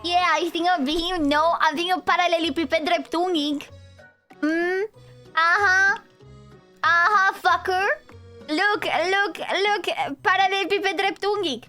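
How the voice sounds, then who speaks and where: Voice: extremely high pitch, steady tone, unhurried, bright, forceful tone, faint music behind. A young adult VTuber streamer with a Romanian accent, chatting with viewers in a goofy, rambling, playful way. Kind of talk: casual live-stream chat.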